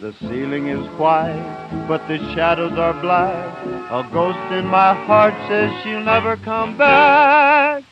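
A man's voice: sits high, slow pace. A man singing a vintage popular song as a crooner. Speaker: singer. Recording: dull vintage recording with loud music.

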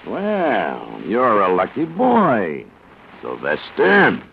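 Sneering cop with big pitch swings. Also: male voice, huge pitch swings, drawn-out words, creaky, full character voice. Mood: mocking. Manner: taunting, slangy, menacing. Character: bullying, sneering tough-guy police detective, male. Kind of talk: 1940s radio acting. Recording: muffled 1940s radio recording, light noise.

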